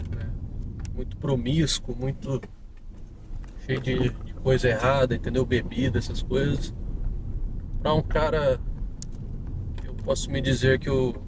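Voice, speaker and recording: A young man with a São Paulo interior accent, telling his conversion testimony in a rambling, casual way, recorded outdoors on a city street. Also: mid-range voice. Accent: São Paulo interior Brazilian Portuguese. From interior of São Paulo state, Brazil. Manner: rambling, many fillers and restarts. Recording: outdoor street with passing traffic.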